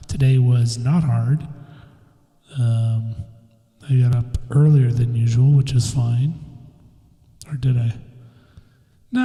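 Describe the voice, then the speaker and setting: Solo podcast guy, slow and mellow, congested, loose and profane, echoey reverberant room. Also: mid-range voice. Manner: laid-back, swears casually, laughs at himself. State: stuffed up, slightly congested. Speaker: podcast host. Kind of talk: casual solo podcast rambling. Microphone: phone or computer mic, new recording software.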